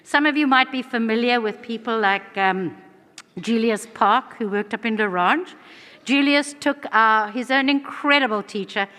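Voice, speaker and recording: A woman's voice: on the high side, normal speed, bright, forceful tone. A woman from South Africa with a South African accent, giving an earnest conference presentation in a large hall. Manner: earnest, fluent, with frequent restarts. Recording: long hall reverb.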